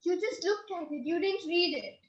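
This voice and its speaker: A very high-pitched stubborn wife in a stage farce, wailing her grievances at officials. She is pushy, plaintive and melodramatic, heard in a roomy, slightly muffled recording. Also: moderate pace. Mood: insistent, indignant. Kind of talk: comic stage-play acting. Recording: roomy, band-limited recording.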